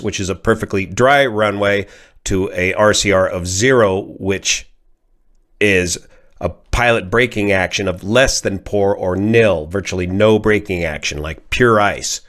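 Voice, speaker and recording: A man with an American accent and a fairly low voice speaks as an aviation commentator. He explains technical matters in an informal but methodical way, laying out each point in measured steps. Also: a bit bright. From California, United States. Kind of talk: informal explanatory accident analysis.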